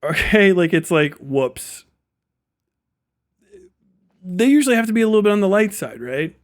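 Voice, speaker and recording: A man's voice: sits high, expressive, normal speed. A man hosting a livestream, reacting off the cuff in a casual, rambling, sweary way. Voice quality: frequent swearing, rambling way of talking. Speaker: livestream host reacting to videos. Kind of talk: casual unscripted commentary.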